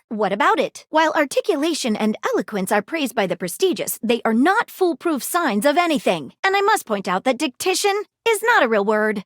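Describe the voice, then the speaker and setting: A prim and eager female detective from a video game. The voice sits high and moves in big, lively swings, recorded very dry. The delivery is articulate and theatrical, with precise, old-fashioned turns of phrase. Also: no echo. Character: prim, eager, dramatic woman detective, a datable household object. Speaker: voice actor in a dating simulator game. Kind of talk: video game character dialogue.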